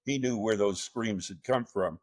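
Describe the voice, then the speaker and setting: A man with a low-pitched voice hosting a true crime podcast, retelling events in a measured, halting way with many fillers. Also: darkish tone. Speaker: podcast host.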